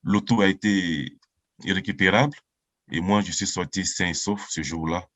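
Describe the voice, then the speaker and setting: A man in his forties from Côte d'Ivoire giving an earnest, halting personal testimony as a baptism candidate. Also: low-pitched, a bit bright, dry recording. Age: 40s.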